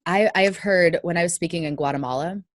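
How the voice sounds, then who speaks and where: Wanita bersuara rendah, aksen Washington DC. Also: female voice, fairly low pitch, a little fast, no echo. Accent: Washington DC area American. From Northern Virginia, US. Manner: chatty, animated with hand gestures. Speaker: guest, data visualization trainer. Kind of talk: casual podcast interview over video call. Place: small room at home. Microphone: headset on video call.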